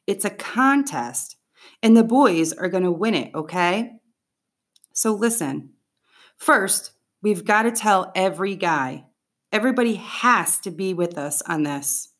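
A woman's voice: medium pitch, lively intonation, unhurried, a bit bright. A woman reading the part of a bossy, eager fifth-grade boy rallying his friends in an audiobook. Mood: eager, insistent.

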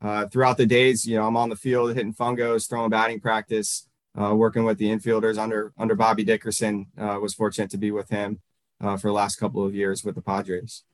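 A man in his thirties from Northern Virginia, a baseball coach answering interview questions in a halting way, full of fillers and restarts. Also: fairly low pitch, monotone, no room sound.

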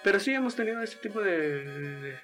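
A man's voice: high-pitched, lively intonation, normal speed, a bit bright, soft background music. A man whose first language is Wixárika, answering questions conversationally as an interviewed activist. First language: Wixárika (Huichol).

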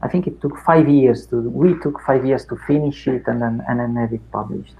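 Gravelly middle-aged Italian man. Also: mid-range voice, warm tone, rough recording. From Italy. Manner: unhurried, many fillers and restarts. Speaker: academic guest. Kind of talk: reflective interview chat.

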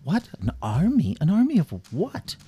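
A man's voice: fairly low pitch, big pitch swings, measured pace, warm tone, a little hiss or hum. A man voicing a kindly, regal fantasy king in a children's story, easily dismayed under threat. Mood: alarmed.

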